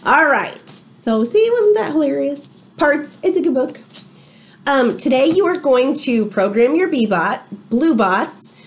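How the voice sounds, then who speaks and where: Animated, high-voiced woman teacher talking to kids. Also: a little slow. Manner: expressive, addresses young children directly. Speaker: elementary STEM teacher reading aloud. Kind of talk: children's read-aloud with chatty asides. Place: small indoor room.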